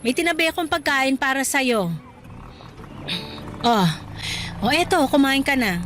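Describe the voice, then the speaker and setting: A hardworking, loving mother in her middle years. Her fairly high voice is affectionate and motherly in radio drama style, over faint music. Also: expressive, a little slow, a bit bright. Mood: affectionate, teasing. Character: loving, teasing, hardworking eatery-worker mother. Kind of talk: Filipino radio drama acting.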